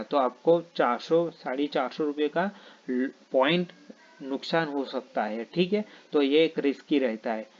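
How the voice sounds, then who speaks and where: Man, non-native speaker, trading tutorial, halting, many fillers, mid pitch, muffled recording. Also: darkish tone. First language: not Hindi. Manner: halting, many fillers and restarts, repeats "ठीक है". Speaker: trading teacher. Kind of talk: explaining options trading lesson.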